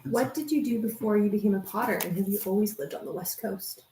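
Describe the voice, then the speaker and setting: A woman's voice: medium pitch, fast, dark tone, a little hiss or hum. Woman reading viewer questions in an echoey room. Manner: reads questions aloud, short encouraging replies. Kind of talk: casual live-stream chat.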